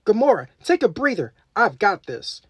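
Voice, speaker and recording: A woman's voice: medium pitch, sing-song, moderate pace, husky, no echo, pitched above the performer's own voice. A woman voicing a bold, wry, confident female superhero in a children's storybook. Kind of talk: animated children's storybook dialogue.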